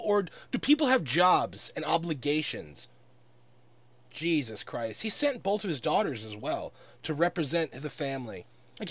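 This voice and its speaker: Animated, high-voiced man ranting. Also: no echo. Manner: profane, exasperated, emphatic. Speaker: online commentator recording an audio log. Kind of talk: angry off-the-cuff rant.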